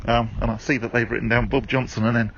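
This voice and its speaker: A man's voice: mid-range voice, little pitch movement, fast, a bit bright, lo-fi sound, a little hiss or hum. A man, a radio presenter, chatting casually as he narrates his arrival and then talks it over in the studio. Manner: chatty, self-deprecating, lots of fillers. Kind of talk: casual on-the-go commentary and studio chat. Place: in a car, then walking outdoors at a stadium.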